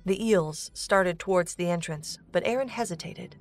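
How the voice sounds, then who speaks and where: A woman's voice: on the low side, normal speed. A woman narrator reading a scripted story for an audio drama. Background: music.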